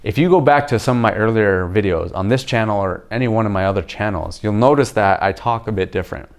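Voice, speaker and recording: A young man with a deep voice, hosting a casual to-camera book review from his home kitchen and explaining points in a relaxed way that often checks in with the viewer. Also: quick pace. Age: young adult. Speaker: video host reviewing a book. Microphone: camera facing him at chest-up framing.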